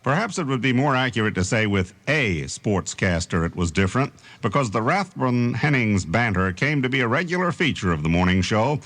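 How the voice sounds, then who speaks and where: A man's voice: medium pitch, sing-song, a little fast, few pauses, fairly bright tone. A man hosting a radio tribute, reading scripted narration. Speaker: radio host.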